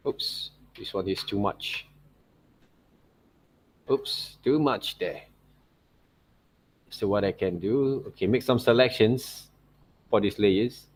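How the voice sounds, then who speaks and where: Low-voiced man teaching. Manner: checks in often with tag questions like right and okay. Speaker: art teacher. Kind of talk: informal screen-share tutorial commentary.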